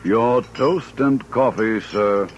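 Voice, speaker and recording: An actor playing a strict, polite household robot servant in a formal, precise manner, in old-time radio style. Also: male voice, lowish voice, slow. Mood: stern, formal. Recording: muffled, noisy vintage radio recording.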